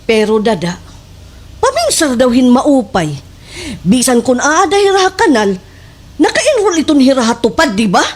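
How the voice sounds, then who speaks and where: A woman plays a gossipy village sidekick in a slapstick radio comedy, speaking with a Waray accent. Her voice is high and swoops up and down as she eggs her friend on with exaggerated emphasis. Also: moderate pace. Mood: explaining. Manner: animated, exaggerated, emphatic storytelling.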